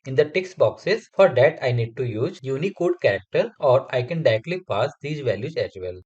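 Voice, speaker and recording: Man, Hindi speaker, methodical tutorial voice-over, husky, slightly muffled audio. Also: medium pitch, a little mellow. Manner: explains step by step, methodical. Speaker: software testing instructor. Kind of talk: screen-recorded coding tutorial.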